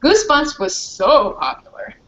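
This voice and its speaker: Older-ish woman author chatting on a video call, highish voice, kinda halting, muffled sound. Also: wildly swooping pitch, background noise. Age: middle-aged or older. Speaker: children's author and guest. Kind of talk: informal question and answer over video call.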